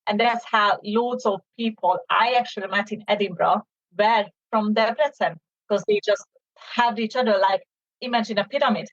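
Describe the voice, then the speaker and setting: A woman's voice: medium pitch, moderate pace, dry recording. Hungarian-accented woman, dull close recording. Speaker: podcast guest, small business owner. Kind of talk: conversational interview answers. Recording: dull, band-limited audio.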